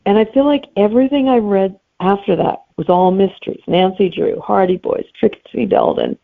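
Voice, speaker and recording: A woman's voice: mid-range voice, big pitch swings, normal speed, gravelly, phone-quality sound. A middle-aged woman answering as an interview guest in casual chat, reflective and wryly humorous. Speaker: romantic suspense author, podcast guest.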